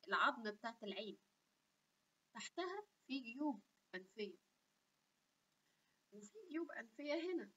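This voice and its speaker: Chatty Egyptian woman on lo-fi webcam. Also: fairly high pitch. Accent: Egyptian Arabic. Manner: animated, gestures a lot, chatty with asides. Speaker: health channel host. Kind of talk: casual explanatory vlog. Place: small room indoors. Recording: dull lo-fi webcam audio.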